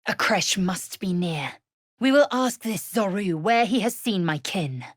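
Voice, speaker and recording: A woman playing a proud, fierce githyanki warrior in a video game, with a husky, raspy voice and a clipped, formal, commanding way of talking. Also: mid-range voice, animated, measured pace, no room sound, clean studio sound. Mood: stern, resolute. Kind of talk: video game character voice lines.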